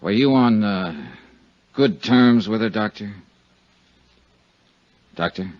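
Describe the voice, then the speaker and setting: An actor playing a hard-boiled, dry, persistent insurance investigator in a 1950s radio detective drama, speaking in a clipped, wry, businesslike way. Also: male voice, fairly low pitch, slow, no room sound. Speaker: lead and narrator. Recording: dull 1950s radio recording with music.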